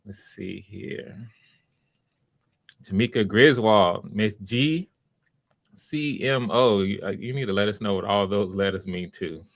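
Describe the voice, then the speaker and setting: Low-voiced man hosting a livestream, dry sound. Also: creaky, no echo. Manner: welcoming, encouraging, unscripted and halting. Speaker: host of live online voice auditions, writer. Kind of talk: casual livestream chat, greeting viewers by name.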